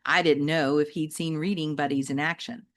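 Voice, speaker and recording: A woman reading a children's novel aloud as an expressive, dramatic storyteller who varies her pacing. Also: sits low, normal speed. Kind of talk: expressive children's audiobook narration.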